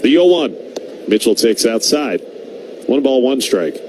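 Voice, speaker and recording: A man's voice: medium pitch, very animated, measured pace. Male baseball radio announcer, noisy ambience. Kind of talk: live baseball play-by-play commentary. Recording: clear background noise, outdoor ballpark ambience.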